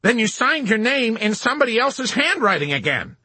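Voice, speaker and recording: A man's voice: very high voice, big pitch swings, sharp tone, husky, dry recording, pitched above the performer's own voice, full character voice. A man doing a sneering, superior army colonel. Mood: sarcastic. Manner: scornful, taunting, drawn-out sarcasm. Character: sarcastic, superior male army colonel interrogator.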